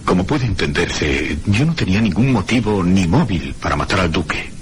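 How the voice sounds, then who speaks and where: An actor playing a haunted man recounting his ordeal in a radio horror drama, with theatrical, deliberate phrasing. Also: low voice, expressive, slight background noise. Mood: haunted dread.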